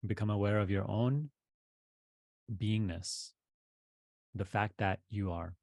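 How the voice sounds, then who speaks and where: Male meditation guide, lower register, deliberate phrasing with pauses, very dry sound. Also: fairly even intonation, vocal fry, a little mellow, no room sound. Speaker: meditation teacher.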